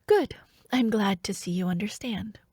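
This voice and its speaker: A woman playing a scheming young royal princess in an intimate ASMR fantasy roleplay, speaking in a hushed, teasing, haughty way. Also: medium pitch, moderate pace, husky, no echo, clean studio sound, lightly acted. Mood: sly, taunting.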